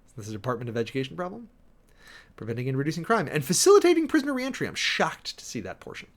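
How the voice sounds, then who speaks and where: A man's voice: fairly high pitch, huge pitch swings, rapid-fire. A man giving a dramatic, emphatic reading of an official document, breaking off often for sardonic asides and commentary as host. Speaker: host, preacher.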